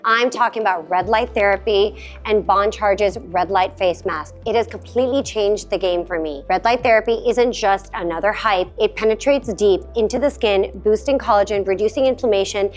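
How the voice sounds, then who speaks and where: A woman reads a sponsor message in a polished, upbeat voice of average pitch. Faint background music plays under her words. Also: moderate pace. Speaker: host reading an ad. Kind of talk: scripted ad read.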